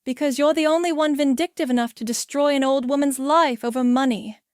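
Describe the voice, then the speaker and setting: Sex: woman voicing a man. Figure: a woman playing a furious husband, accusing and pressing. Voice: fairly high, dry close sound. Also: lively intonation, measured pace, no echo. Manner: angry, pressing, raised voice. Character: furious middle-aged husband, pleading and blaming.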